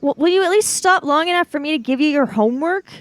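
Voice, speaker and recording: A woman's voice: high voice, lively intonation, quick pace, no echo. A woman chatting casually and improvising dialogue as a player in a tabletop roleplaying game.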